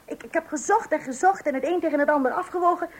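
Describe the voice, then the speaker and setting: An actress playing an organised, affectionate pregnant wife patiently giving instructions in a radio comedy. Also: female voice, high voice, quick pace, close to an everyday voice. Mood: patient, instructing. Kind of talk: Dutch radio comedy drama acting. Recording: old radio play recording.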